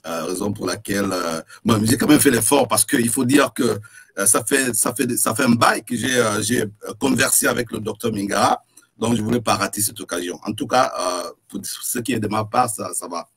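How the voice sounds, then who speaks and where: A man hosting a live online talk show with a slight cough, talking in relaxed, joking banter and repeating words as he goes. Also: lowish voice, moderate pace, husky. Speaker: host.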